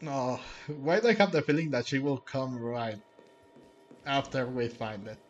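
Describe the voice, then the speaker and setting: Higher-pitched man doing casual game commentary. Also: unhurried, dull audio. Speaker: game streamer. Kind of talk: casual gameplay commentary and reading aloud. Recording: game music faintly behind.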